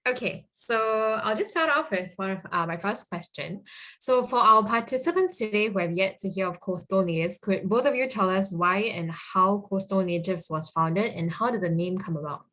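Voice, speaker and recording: A woman's voice: medium pitch, normal speed, bright, forceful tone. A woman hosting an online talk, introducing the guests in a friendly, upbeat way with frequent fillers. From Singapore. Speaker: host, moderator. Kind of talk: event moderation.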